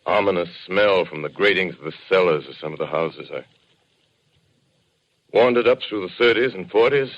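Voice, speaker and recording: Deep, grave survivor, vintage radio. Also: male voice. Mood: sombre, haunted. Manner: grave, literary, deliberate phrasing. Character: sombre, educated male survivor recounting a desolate journey. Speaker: radio drama lead and narrator. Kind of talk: 1930s radio acting, dramatic narration. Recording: dull, band-limited 1930s radio recording.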